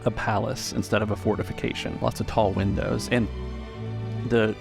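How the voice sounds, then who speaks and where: A man runs a tabletop game as its narrator. His voice is fairly low with a lot of fry, and he builds descriptions in halting, searching phrases. Faint music plays underneath. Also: flat delivery. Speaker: game master.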